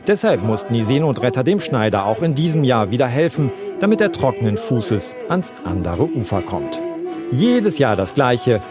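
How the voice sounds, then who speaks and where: Male news narrator, animated read, music bed underneath. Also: mid-range voice, moderate pace. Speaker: news reporter. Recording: voice-over over loud music.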